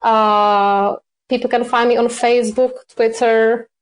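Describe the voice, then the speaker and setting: A woman's voice: on the high side, level tone, unhurried. A woman, a cookbook author answering interview questions, hesitant with many fillers and self-corrections. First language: Czech.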